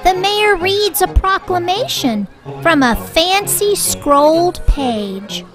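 A woman reading a children's story aloud in an expressive, rhyming sing-song way, with deliberate delivery. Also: extremely high pitch. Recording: music bed under the voice.

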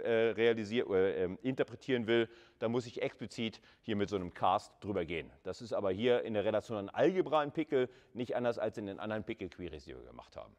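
A man's voice: fairly low pitch, darkish tone. A man lecturing at university in a conversational way, repeating words for emphasis and adding short checking tags. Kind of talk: informal screen-recorded lecture with live coding and slides.

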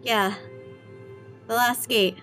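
A woman's voice: fairly high pitch, fairly even intonation, extremely slow, sharp tone, faint music behind, exaggerated voice. An actress playing a young woman in a horror audio drama, a game but uneasy best friend with a casual, wry way of talking. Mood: wry, anxious.

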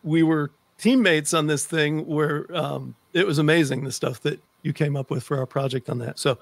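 A middle-aged male podcast host with a fairly high voice chats casually. He is close on a desk mic, with a very dry sound free of room echo. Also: steady tone, normal speed, gravelly. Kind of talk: casual interview chat. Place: indoor home office with bookshelves. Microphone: desk microphone, close, on a video call.

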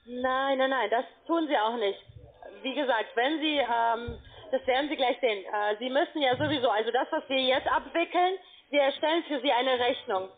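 A woman with a high voice speaks as a call centre agent on a thin telephone line. She explains things to a customer haltingly, getting somewhat flustered as she goes. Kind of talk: phone sales support call. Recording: narrow, tinny phone line.

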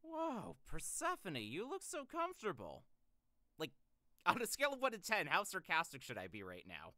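A man's voice: high-pitched, huge pitch swings, normal speed, sharp tone, no echo, clean studio sound. A man who is a voice actor, goofing around and ad-libbing in outtakes. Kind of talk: goofy blooper ad-libbing. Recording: very noisy, clicking mic.

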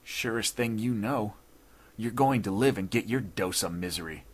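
Man acting a gruff, sneering escaped convict. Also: on the low side, normal speed, lightly acted. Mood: snarling. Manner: rough slangy tough talk.

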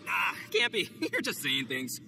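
A brash, goofy oni gang boss who boasts and shouts in a wildly swinging, fairly high voice. His loud swagger runs over game music playing clearly behind. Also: wildly swooping pitch, a little slow, full character voice. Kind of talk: video game cutscene voice acting.

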